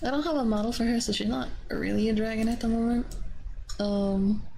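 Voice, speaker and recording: A young adult woman chatting casually on a livestream, rambling and excitable with a giggly manner, close on a binaural microphone. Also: a little high, a little fast, gravelly, slight background noise, rough recording. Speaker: VTuber livestreamer. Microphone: close binaural ASMR microphone.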